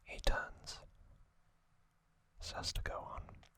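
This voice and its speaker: A man narrates in a crisp, bright whisper for ASMR. He moves very slowly and deliberately, breaking his scripted story into short hushed phrases. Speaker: ASMR host.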